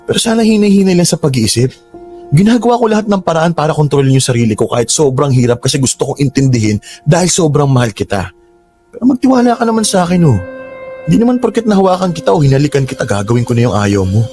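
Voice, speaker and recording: Exasperated young Filipino boyfriend, animated voice. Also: male voice, a little high, fast talker. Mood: pleading, conciliatory. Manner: emotive, protesting. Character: exasperated, pleading young boyfriend, defensive but loving. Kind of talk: Tagalog radio drama acting. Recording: music bed under dialogue.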